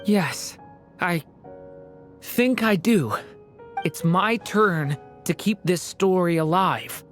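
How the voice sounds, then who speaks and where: An actor voicing an earnest young dragon boy in a video game, soft, sincere and wistful. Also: medium pitch, expressive, slow pace, faint music behind. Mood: hesitant, earnest. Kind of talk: dramatic video game voice acting.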